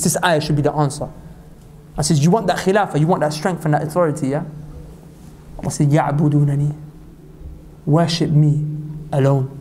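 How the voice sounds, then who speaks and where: A man from Pakistan delivering an impassioned sermon as a preacher, reciting scripture quickly and climbing to high emphatic peaks. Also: warm tone, slight background noise. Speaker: Islamic preacher.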